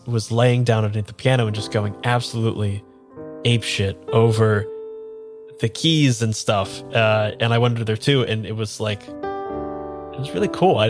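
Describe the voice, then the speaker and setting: A man's voice: lowish voice, lightly acted. A man playing a bewildered, earnest amnesiac in an improvised audio drama, speaking in an unsure, conversational way. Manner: halting, unsure, rambling. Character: bewildered, earnest man without his memory. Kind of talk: improvised audio drama dialogue and first-person narration.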